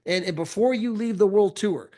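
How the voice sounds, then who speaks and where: A middle-aged American man giving an animated sales pitch for a travel club, piling up destinations and chuckling at his own asides. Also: moderate pace. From USA.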